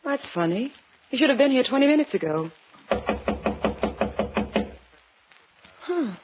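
A woman's voice: high voice, sing-song, brisk, background noise. An anxious blackmailed woman. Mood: cool, guarded. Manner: urgent, pleading. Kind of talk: 1940s radio drama acting. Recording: dull old radio broadcast with music.

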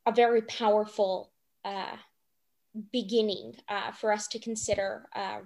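Young woman, measured and hesitant. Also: mid-range voice, steady tone, darkish tone. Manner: hesitant, measured, formal phrasing. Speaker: director on a production panel. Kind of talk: thoughtful academic panel discussion.

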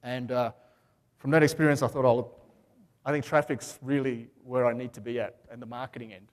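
A man, giving a talk on a conference stage in a large hall. He talks in a relaxed, rambling way with lots of ums and uhs. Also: medium pitch, fairly quick. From Australia. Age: 40s. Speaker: conference speaker.

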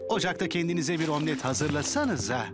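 A man's voice: on the high side, animated, fairly quick, natural voice. A man playing a flamboyant, self-assured young chef in a children's cartoon, with a theatrical, pompous manner. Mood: confident. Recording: loud music behind the voice.

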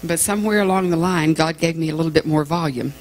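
A woman's voice: sits low, fast, darkish tone, a little hiss or hum. A woman in her fifties, a guest speaker at a women's church conference, chatting casually with the congregation in a large sanctuary before she sings. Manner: chatty, self-deprecating, with frequent ums. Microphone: stage microphone at a distance from the camera.

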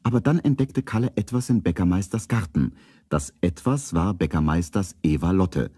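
A man narrating a children's radio play as a calm storyteller. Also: low-pitched, normal speed, breathy edge, dry recording, studio quality. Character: calm adult male storyteller.

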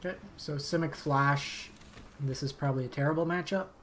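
Slightly raspy man streaming a game. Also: steady tone, fairly quick, a little hiss or hum. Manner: thinking aloud, low-key, muttered asides. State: slightly raspy from long talking. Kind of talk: casual gameplay commentary. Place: home streaming setup.

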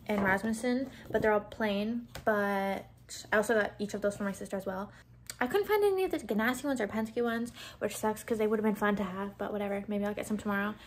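A woman's voice: medium pitch, slight background noise. Chatty young woman vlogging on her phone. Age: young adult. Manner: chatty and excitable, many "like"s. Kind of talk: casual vlog chat. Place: bedroom indoors. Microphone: phone camera at arm's length.

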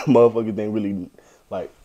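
Young guy from kenya, real deep low voice, slangy and laid-back, laughs a lot. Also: mellow. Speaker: guest. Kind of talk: casual chat.

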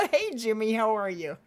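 A woman's voice: on the high side, big pitch swings, unhurried. A woman streaming a hunting game live, chatting and greeting her viewers by name while she is losing her voice. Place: home streaming setup.

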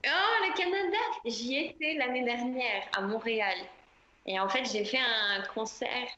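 A woman's voice: a little high, unhurried, lo-fi sound, a little hiss or hum. Chatty woman on a roomy remote line. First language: French. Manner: relaxed, chatty, many fillers. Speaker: singer, interview guest. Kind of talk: casual interview answers.

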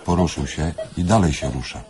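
An actor playing a pedantic, matter-of-fact surgeon in a radio drama. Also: male voice, extremely low pitch, brisk, background noise. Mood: incredulous.

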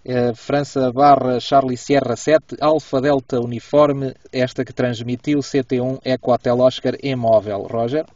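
A man with a European Portuguese accent speaks courteously as an amateur radio operator, often checking back. His intonation stays fairly flat. He is recorded inside a car, a bit away from the microphone, with a dull sound. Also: mid-range voice, unhurried, hardly any pauses. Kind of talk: casual ham radio chat. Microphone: camera a little way from him. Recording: dull, roomy, distant camera microphone.

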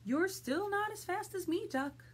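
A woman voicing skeptical farm animals from a children's storybook, with exaggerated noises and expressive asides. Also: extremely high pitch, unhurried, slight background noise, pitched above the performer's own voice. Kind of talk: children's picture-book read-aloud.

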